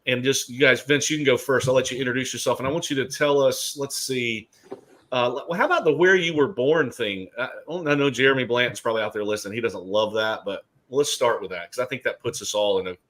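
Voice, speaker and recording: A man's voice: medium pitch, a bit bright. A middle-aged man from Alabama hosting a casual talk, chatty and joking, with many fillers. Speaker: host. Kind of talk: casual chat.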